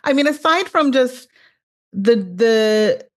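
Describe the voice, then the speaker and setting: A woman's voice: on the high side, lively intonation, slow. A woman hosting a podcast interview, reacting with enthusiastic affirmations and drawing out her guest. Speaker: podcast host.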